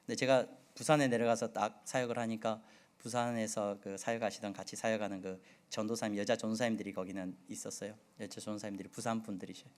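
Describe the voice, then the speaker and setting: A middle-aged male pastor from the Jeolla region preaching a sermon, with a slight Jeolla accent, asking his congregation many questions and moving between loud and soft delivery. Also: on the low side, flat delivery, fast talker. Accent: Seoul Korean with occasional Jeolla dialect. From Jeolla region, Korea.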